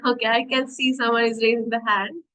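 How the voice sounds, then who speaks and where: A woman's voice: on the high side, level tone, brisk, fairly bright tone. A young woman with an Indian accent, giving a nutrition webinar over a video call in a chatty, encouraging way and asking her audience questions. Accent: Indian English. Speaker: diabetes nutrition coach presenting a webinar. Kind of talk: online webinar talk, mixing English and Hindi. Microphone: computer mic on a video call.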